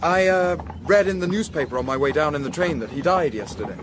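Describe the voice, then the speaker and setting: Courteous young gentleman played by a man, noisy background. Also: on the high side, lively intonation, fairly quick, lightly acted. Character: polite, smooth-talking young Victorian scholar, a man. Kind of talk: radio drama acting.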